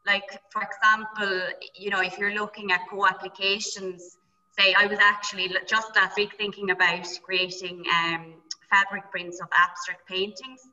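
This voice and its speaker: A woman from Europe asks a long, rambling question on a video call, hesitant and apologetic. Her voice is very bright and sharp but sits far from the mic. The call audio is dull and muffled. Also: mid-range voice, flat delivery, normal speed. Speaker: participant asking a question.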